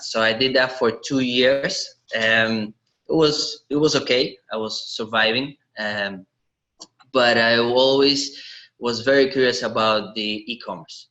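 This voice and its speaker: Bright-voiced man, halting. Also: on the low side, poor audio. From Latvia. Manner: earnest, halting, with small grammar slips. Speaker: podcast guest, online seller. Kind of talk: casual interview chat.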